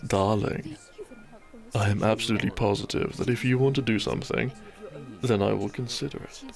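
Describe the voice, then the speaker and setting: A man playing a devoted, tender doctor and newlywed groom in a romantic audio roleplay, speaking softly and earnestly to his beloved. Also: lowish voice, normal speed, gravelly, soft background music. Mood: fond, relaxed.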